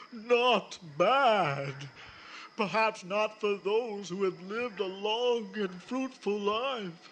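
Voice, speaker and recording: An actor playing Death, a weary, formless male spirit hiding under a sheet, who speaks in a slow, mournful and solemn way in an old-time radio drama. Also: very high voice, a bit bright, slight background noise, exaggerated voice, rough recording. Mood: sorrowful.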